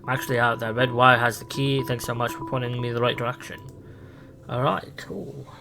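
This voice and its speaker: A man's voice: medium pitch, steady tone, fairly quick, vocal fry, over music. A man casually improvising a roleplay conversation, hesitant and rambling. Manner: hesitant, rambling, with many "like" fillers. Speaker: player roleplaying with an AI character.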